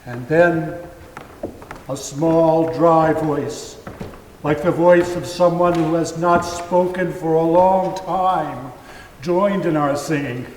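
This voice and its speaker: A man giving a deliberate, phrase-by-phrase dramatic reading of a story. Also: fairly high pitch, fairly even intonation, darkish tone, a little hiss or hum. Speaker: storyteller reader. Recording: echoey hall, distant from mic.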